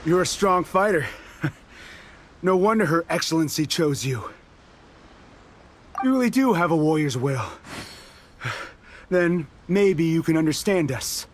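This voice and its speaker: A man's voice: a little high, animated, unhurried, long pauses, slight background noise. An actor playing a brash, boozy male resistance soldier in a video game who chuckles and provokes.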